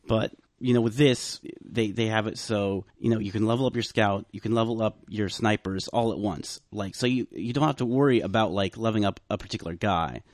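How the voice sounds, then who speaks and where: Man, low voice, dry close sound, hoarse, halting panel chat. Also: a little mellow, dry recording. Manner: halting, many fillers and restarts. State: voice going hoarse. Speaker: podcast panelist. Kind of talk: casual group chat about video games.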